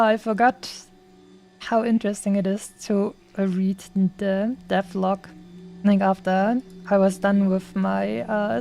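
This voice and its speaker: A woman's voice: mid-range voice, warm tone. A young woman from Germany with a German accent, chatting casually and playfully while streaming a game, often laughing and using fillers. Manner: giggly, playful, lots of fillers. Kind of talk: casual gameplay chat.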